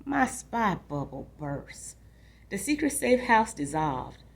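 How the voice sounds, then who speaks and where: A woman's voice: medium pitch, big pitch swings, slow pace, vocal fry, heavily acted. A woman reading a storybook aloud as a restless, imaginative young boy longing for adventure, in a dramatic, confiding way. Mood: deflated. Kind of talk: expressive storybook read-aloud.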